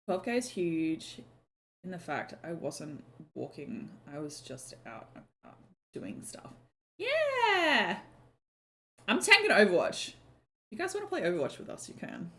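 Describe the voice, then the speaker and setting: A woman's voice: on the low side, wildly swooping pitch, a little slow, pauses often, fairly bright tone. Chatty young woman streaming. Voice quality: slightly croaky. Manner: chatty, lots of "like" and "um", swears casually. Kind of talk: casual chat on a live stream.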